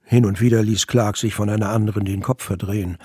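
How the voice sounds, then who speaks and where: Husky male story narrator, close and dry. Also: lowish voice, steady tone, fast, darkish tone, dry recording. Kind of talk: scripted fiction narration for audio drama.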